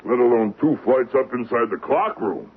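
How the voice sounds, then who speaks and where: A cocky, folksy crook played by a man pitching a heist in slangy talk, his pitch swinging freely. It is old-time radio acting, heard through a thin, narrow broadcast sound with faint hiss. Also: animated, normal speed, lightly acted. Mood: cocky, scheming. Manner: folksy, slangy, talks in tags like "see?" and "huh?". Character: cocky male safecracker scheming a vault heist.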